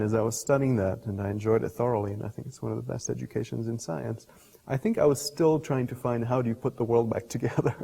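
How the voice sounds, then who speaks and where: Middle aged guy, mellow creaky voice, academic interview chat, keeps rephrasing himself, long reverb on it. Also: medium pitch. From Portugal. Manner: thoughtful, halting, often rephrasing mid-sentence. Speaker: scientist and professor being interviewed. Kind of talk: reflective interview talk, explaining ideas. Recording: reverberant hall-like room echo.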